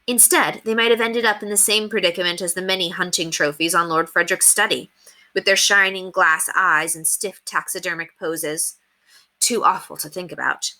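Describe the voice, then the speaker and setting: A woman reading a children's book aloud as an expressive storyteller. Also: fairly low pitch, normal speed.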